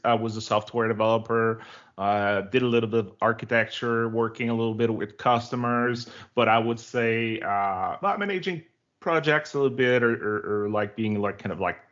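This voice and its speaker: A man's voice: mid-range voice, moderate pace, fairly bright tone, highs cut off. A man in his forties from Quebec, a native French speaker with a Quebec French accent, giving chatty, informal interview answers. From Quebec, Canada. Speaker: interview guest, developer relations director.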